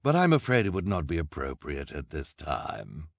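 A man's voice: extremely low pitch, huge pitch swings, moderate pace, vocal fry, no echo, clean studio sound, voice pushed down, heavily acted. A man voicing a villainous, showy actor in an audiobook, nasty and cowardly with a pompous, oily manner. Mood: smug, evasive. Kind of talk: audiobook character acting.

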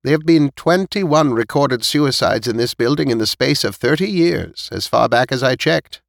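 Male narrator as a stern elderly woman, raised pitch, blunt, knowing, audiobook character, close dry sound. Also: expressive, moderate pace, vocal fry, no echo. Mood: grave, matter-of-fact.

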